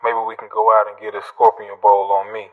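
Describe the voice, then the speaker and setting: A man playing a casual, flirty lover leaving a low-key voicemail in an audio drama. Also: little pitch movement, fairly quick, narrow, tinny audio, background noise, lightly acted. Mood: casual, inviting. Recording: music bed behind the voice.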